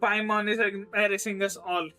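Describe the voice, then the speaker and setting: A man's voice: sits high, little pitch movement, moderate pace, bright, forceful tone, faint music behind. A man with an Indian accent giving casual live gaming commentary, repeating short reactions and switching between languages. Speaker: game streamer.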